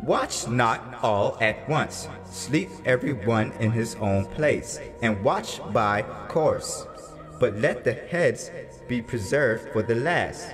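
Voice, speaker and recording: A giant three-headed eagle, voiced by a man, gives commands in a scripture drama. Each pronouncement comes very slowly and with authority, over faint music. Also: mid-range voice, lively intonation. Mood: commanding.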